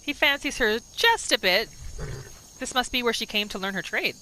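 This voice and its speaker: Female gamer-storyteller, casual roleplay narration, crisp bright tone, light background noise. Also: a little high, animated, moderate pace. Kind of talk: casual storytelling game commentary.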